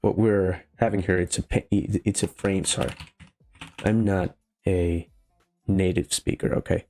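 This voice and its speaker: A man with a Venezuelan Spanish accent, a non-native speaker of English, thinking aloud haltingly through a casual coding tutorial. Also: sits low, steady tone, warm tone. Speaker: programming tutorial host. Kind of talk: casual live-coding walkthrough. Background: faint music, keyboard typing.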